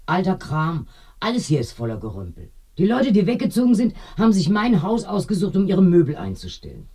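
Husky, animated village landlady Doña Carmen. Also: fairly low pitch, a little fast, full character voice. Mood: chatty, welcoming. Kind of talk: German radio play acting.

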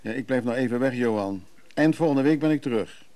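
An actor in a Dutch radio play voicing an evasive, soothing antiques buyer, speaking conversationally and a little hesitantly. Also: male voice, mid-range voice, a little fast, darkish tone, lightly acted. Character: Freek, evasive, soothing middle-class antiques buyer and brother.

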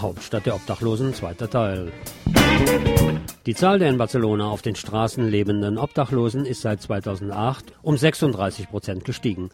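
A man presenting the news, reading scripted reports. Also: fairly low pitch. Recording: music under the voice.

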